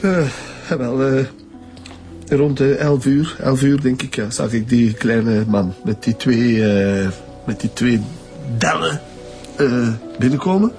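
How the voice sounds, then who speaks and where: A man's voice: medium pitch, slow pace, darkish tone, faint music behind, lightly acted. An actor playing a fearful, pious male concierge with a Flemish accent, who stammers and moralizes about sin in a radio drama. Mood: scandalized.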